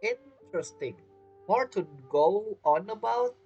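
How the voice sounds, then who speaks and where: A woman's voice: medium pitch, lively intonation, measured pace, warm tone, lo-fi sound, faint music behind. A woman giving casual let's-play commentary, musing with offhand reactions and guesses. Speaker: gamer commenting on a playthrough.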